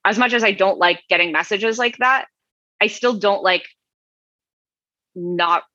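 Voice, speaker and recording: A woman's voice: mid-range voice, measured pace, sharp tone, dry recording. A woman co-hosting a podcast in casual chat, hesitant and filling her sentences with fillers and restarts. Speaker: podcast co-host. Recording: dull, dry recording.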